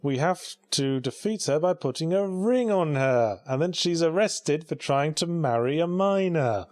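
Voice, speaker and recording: A man's voice: a little high, animated, unhurried, a little mellow, dry recording, clean studio sound. A man giving sarcastic, joking video game commentary alongside a co-host. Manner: sarcastic, sardonic.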